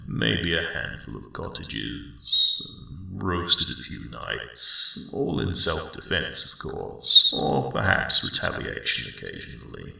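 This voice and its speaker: A man voicing a friendly, elderly male dragon in an ASMR roleplay, rambling slowly and wryly in a deep, gravelly rumble. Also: exaggerated voice. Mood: wry, sheepish. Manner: slow, rambling, reflective, gently wry. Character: friendly ancient male dragon, mellowed guardian of a spring. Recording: roomy cave-like echo, distant sound.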